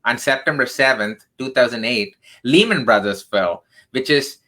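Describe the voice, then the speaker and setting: Man, from southern India, 30s, bright tone, earnest, emphatic, career coach guest. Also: medium pitch, normal speed. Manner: earnest, emphatic, frequent "right?" tags. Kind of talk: radio interview storytelling.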